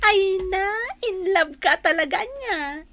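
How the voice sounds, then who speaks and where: A woman's voice: very high-pitched, expressive, slow pace, pushed and bright, exaggerated voice. A woman playing a playful, teasing young friend in an Ilocano radio drama.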